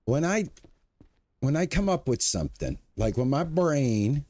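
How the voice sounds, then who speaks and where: A man's voice: medium pitch, big pitch swings, measured pace, darkish tone, no room sound. Chatty older man streaming a game. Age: 60s. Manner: chatty, upbeat, talks to chat. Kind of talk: casual live-stream commentary.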